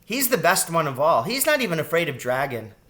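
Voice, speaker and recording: High-pitched young girl rat, man acting it out, childish, excitable, chatty, naive, storybook read-aloud. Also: brisk. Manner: childish, excitable, suspicious questioning.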